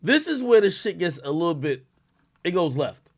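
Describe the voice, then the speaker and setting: A man hosting a live stream, casual and profane, rambling and reacting loudly as he reads things aloud. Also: a little high, very animated, normal speed.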